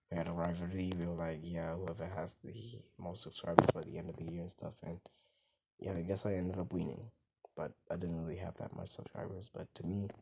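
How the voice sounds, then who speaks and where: A young man with a strikingly deep, low voice and a gravelly fry. He rambles casually to the camera, and some words fall soft and mumbled. A loud noise floor with mouse clicks sits under the recording. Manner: rambling, soft and mumbled at times, hard to hear. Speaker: gaming vlogger.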